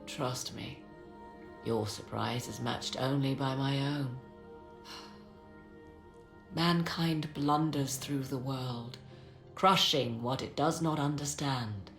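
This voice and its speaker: A woman's voice: very deep voice, slow pace, creaky, long pauses, heavily acted. An actress playing a proud, enigmatic witch and scholar of ancient lore in a video game, speaking in formal, archaic, theatrical phrases. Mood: grave, impassioned. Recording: roomy echo, music behind.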